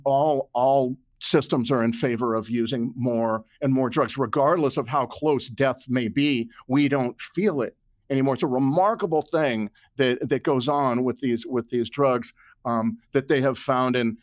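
Halting man explaining his ideas. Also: medium pitch. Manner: halting, repeats and restarts phrases while reasoning aloud. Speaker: interview guest, author and journalist. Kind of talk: unscripted explanatory interview talk.

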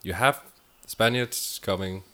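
Man, low voice, native Danish speaker, podcast co-host, casual discussion. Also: takes it slowly, pushed and bright.

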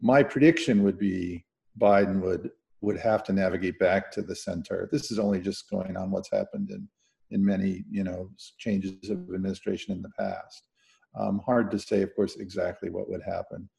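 A man with a low, gravelly voice and a soft, dark tone talks as a podcast panelist. His analysis is conversational and measured, with careful qualifications. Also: fairly even intonation.

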